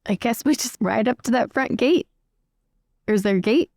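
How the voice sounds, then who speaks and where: A woman's voice: fairly high pitch, expressive, normal speed, gravelly, a little mellow, dry recording, studio quality. A woman playing a tabletop roleplaying game, casually speaking in character and asking questions.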